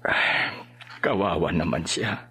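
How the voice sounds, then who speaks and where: A man's voice: low-pitched, slow, bright, forceful tone, husky, heavily acted. An actor playing an older father, grieving and resigned, speaking sorrowfully in a radio drama.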